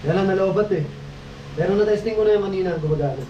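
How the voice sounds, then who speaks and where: A Filipino man with a slightly high voice talks casually, like a vlogger checking in with viewers. The audio is a little dull, with loud vehicle noise all around him. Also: very fast. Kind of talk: casual vlog chat.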